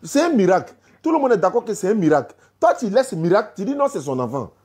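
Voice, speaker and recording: A woman debating religion live as a host, insistently repeating pointed questions in a husky voice. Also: medium pitch, big pitch swings, fast talker, darkish tone. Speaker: host taking phone calls on religion.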